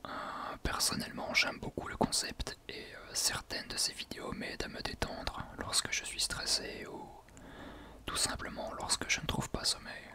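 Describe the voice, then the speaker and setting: A man speaking in a breathy, unvoiced whisper, talking gently and hesitantly to listeners in an ASMR style. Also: normal speed. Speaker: ASMR video creator, host.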